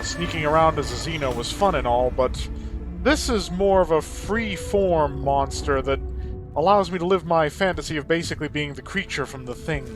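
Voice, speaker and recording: Man doing game commentary over music. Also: on the high side. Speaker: host of a gaming channel. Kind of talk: let's play commentary, casual chat.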